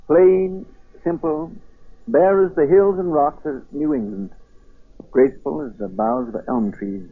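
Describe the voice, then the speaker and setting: An actor playing a proud, spirited colonial silversmith, brisk, dignified and theatrical, in a 1940s radio drama. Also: male voice, a little high. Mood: fiery, scornful. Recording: muffled vintage 1940s radio broadcast.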